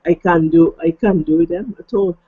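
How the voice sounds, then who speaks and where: A woman's voice: fairly low pitch, moderate pace, husky. A woman whose first language is not English speaking earnestly on a video call, repeating her words. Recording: dull lo-fi video call audio.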